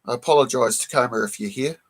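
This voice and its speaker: A man hosting a casual live stream, croaky from a cold, who keeps clearing his throat and greets viewers in the chat one by one. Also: mid-range voice, fast talker. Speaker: live stream host showing comic books.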